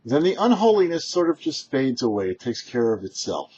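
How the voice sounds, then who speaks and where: A very husky, rough-voiced man delivers a short religious lesson as a rabbi. His pitch moves up and down in a lively way, with deliberate, emphatic phrasing and dramatic pauses. The recording is slightly dull and muffled. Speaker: rabbi, show host. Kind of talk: short religious teaching talk.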